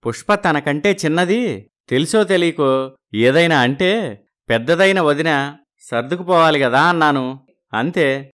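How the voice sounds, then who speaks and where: A man voicing a proud but soft-hearted village brother and husband in an animated moral story. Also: a little high, a little slow, pauses often. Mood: aggrieved.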